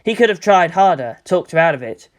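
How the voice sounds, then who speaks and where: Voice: medium pitch, animated, a little fast, close to an everyday voice. A narrator voicing a guilt-ridden old male mentor with a brooding manner, in an audiobook. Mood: guilt-stricken.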